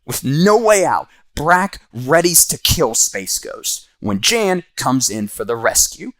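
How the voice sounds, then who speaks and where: A man's voice: on the high side, big pitch swings, slow pace, fairly bright tone, no room sound. A man hosting and narrating a scripted comic book review.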